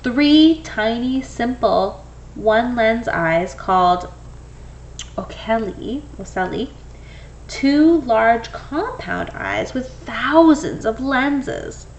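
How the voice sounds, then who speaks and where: A woman reading a children's picture book aloud in an expressive, storytelling way. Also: fairly high pitch, extremely slow, dull audio, a little hiss or hum. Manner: expressive, acts out dialogue. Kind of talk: animated children's read-aloud.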